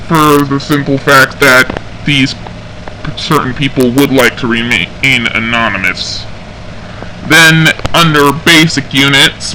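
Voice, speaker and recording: Male sci-fi police officer, played by a man, slightly high voice, official and halting, very unhurried, amateur game audio log. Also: pushed and bright, a little hiss or hum, poor audio. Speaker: roleplay game server member recording an audio log. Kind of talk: semi-scripted in-character briefing log.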